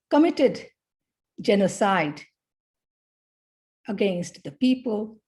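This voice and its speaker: This is a middle-aged woman from Bangladesh delivering an academic conference talk. Her delivery is unhurried and measured, broken into short phrases with pauses in between. Her voice sits at an ordinary middle pitch. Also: a little mellow. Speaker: academic speaker.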